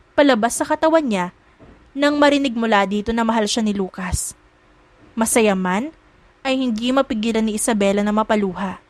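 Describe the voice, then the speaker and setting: A woman's voice: fairly high pitch, no room sound. A woman narrator reading a scripted romance story for an audiobook.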